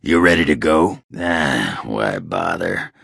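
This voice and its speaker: A crude male dwarf warrior for a fantasy game. His voice is extremely low and packed with gravel. He swaggers through his words at a slow, boozy drawl, boastful and rough. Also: big pitch swings, fairly bright tone, dry recording, clean studio sound, full character voice. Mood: smug swagger. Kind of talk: video game character acting.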